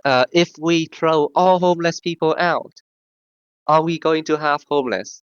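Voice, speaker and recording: A man with a sore throat in a casual group voice chat, rambling and halting, full of fillers. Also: fairly high pitch, clean tone, no room sound, lo-fi sound. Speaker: discussion participant.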